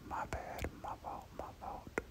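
A whispering game streamer gives ASMR play-by-play in a casual, slangy way. The voice is breathy and unvoiced at a close mic, often repeating little words and phrases. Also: unhurried. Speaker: gaming video host. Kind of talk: whispered ASMR gameplay commentary.

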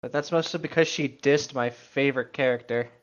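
A man's voice: mid-range voice, a little fast, muffled recording, a little hiss or hum. A man casually reading a story aloud and commenting on it in a sarcastic, mocking way.

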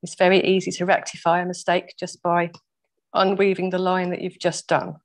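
A woman with a croaky voice teaching a weaving workshop over a video call, patiently explaining each step and laughing off her own mistakes. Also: on the low side, monotone, normal speed. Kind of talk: step-by-step craft demonstration. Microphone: laptop mic over video call.

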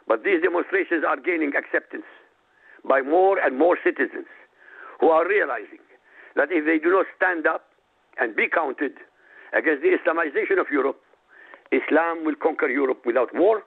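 A man's voice: fairly high pitch, creaky, husky. A man from Iraq whose first language is Arabic, a radio guest reading out a prepared statement in an emphatic, declamatory way. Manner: emphatic, declamatory, lists items slowly. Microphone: phone. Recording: tinny phone line.

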